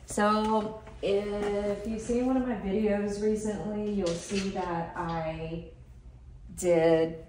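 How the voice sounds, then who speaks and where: A middle-aged woman with a croaky voice chatting casually while unboxing nail art supplies, thinking aloud with lots of fillers. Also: mid-range voice, fairly even intonation, slow, background noise. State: croaky from a sore throat. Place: tabletop crafting desk, camera overhead.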